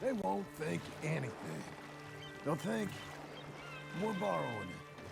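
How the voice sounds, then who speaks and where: A slow-talking gravelly American cowboy. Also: male voice, fairly high pitch, big pitch swings, over loud music, full character voice. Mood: easygoing. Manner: plainspoken, halting, earnest. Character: John Marston, gruff earnest frontier outlaw turned rancher. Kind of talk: dramatic video game acting.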